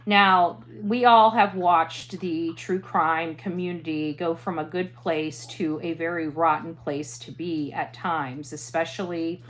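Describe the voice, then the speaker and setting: A woman speaking earnestly to an audience as a commentator, halting and emphatic, repeating phrases to press her point. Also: low-pitched, steady tone. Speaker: interviewee, online true crime commentator.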